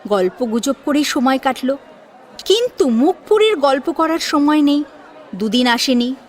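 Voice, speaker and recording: A woman narrating a scripted audio story in a dramatic storytelling style. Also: sits high.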